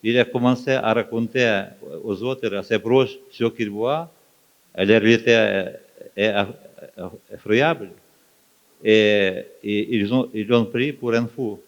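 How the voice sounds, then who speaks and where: A man from Ukraine, a native Ukrainian speaker with an Eastern European accent, answering as a guest author on stage in halting, fragmented phrases. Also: on the low side. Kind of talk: onstage interview answers. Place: live festival stage. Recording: dull, muffled live recording.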